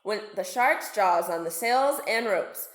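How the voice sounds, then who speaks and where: Slow, animated woman reading a storybook. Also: mid-range voice. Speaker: storybook reader, teacher. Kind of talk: children's read-aloud narration. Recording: echoey room.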